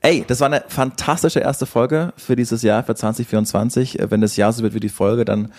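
A man's voice: mid-range voice, steady tone, a little fast, a little mellow. A man chatting casually as the host of a podcast. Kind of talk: casual chat.

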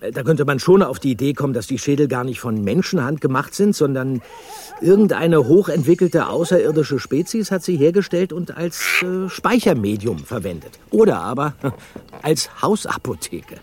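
An actor playing a bookish young detective in an audio drama, earnest, somewhat timid and explaining with hesitant fillers. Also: male voice, fairly high pitch, sing-song, normal speed, a little mellow. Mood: eager, explaining. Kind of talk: German audio drama acting.

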